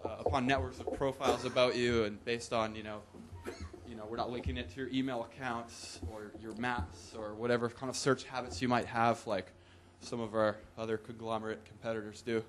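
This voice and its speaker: A man's voice: medium pitch, little pitch movement, a little fast, a little hiss or hum. A young man giving a loose, joking talk in a conference hall, with whoops and laughs, into a podium microphone. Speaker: conference presenter. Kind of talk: casual live tech talk.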